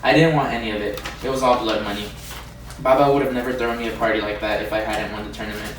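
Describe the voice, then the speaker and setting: A man's voice: on the low side, steady tone, fast talker, lightly acted. A man reading a scripted readers' theatre part as a narrator looking back on boyhood. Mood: reflective, sombre. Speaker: student performer. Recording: echoey room, distant mic, noisy.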